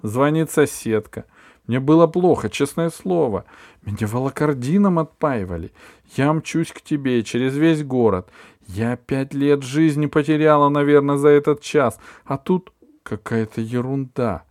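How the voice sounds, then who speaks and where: A man voicing an exasperated mother who scolds her son in a sharp, raised voice. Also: mid-range voice, measured pace, dry recording, clean studio sound, voice pushed up, lightly acted.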